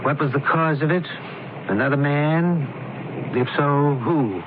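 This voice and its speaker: A brusque, brainy investigator played by a man comes through a muffled, hissy old radio broadcast. His voice sits a little high for a man, and he is clipped, dry and imperious, questioning and giving orders in radio mystery style. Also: measured pace, natural voice. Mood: brusque, commanding. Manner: imperious, clipped, dryly probing. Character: brusque, commanding, brainy doctor who investigates cases. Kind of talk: radio mystery drama acting.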